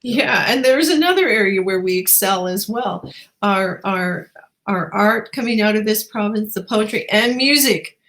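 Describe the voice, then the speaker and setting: A woman's voice: mid-range voice, animated, a little slow, fairly bright tone. Woman hosting a conversational interview. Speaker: interview show host.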